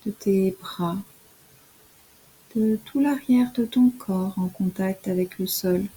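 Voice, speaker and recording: A woman leading a scripted guided relaxation in a soft, soothing, instructional way, as a yoga nidra guide. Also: mid-range voice, slightly rough, rough recording.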